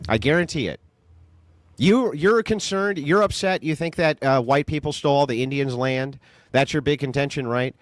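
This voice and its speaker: A man's voice: medium pitch, normal speed, no room sound. A man arguing in a heated debate, combative and sarcastic, mocking his opponent. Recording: clip from a recorded debate.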